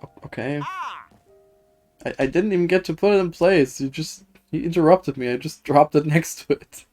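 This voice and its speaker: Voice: medium pitch, moderate pace, a little mellow. A streamer giving casual, dry and wry live commentary while playing a game and reading its dialogue aloud. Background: faint game music.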